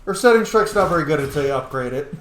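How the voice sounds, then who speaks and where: Man, slightly high voice, very animated intonation, casual let's play commentary, informal, swearing, echoey room, faint noise. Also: fast. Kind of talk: casual gameplay commentary to viewers. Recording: roomy echo, faint background noise.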